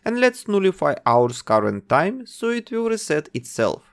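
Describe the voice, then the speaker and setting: A man narrating a coding tutorial, calmly giving instructions one step at a time. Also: high-pitched, moderate pace, clear, resonant tone. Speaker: game development tutor.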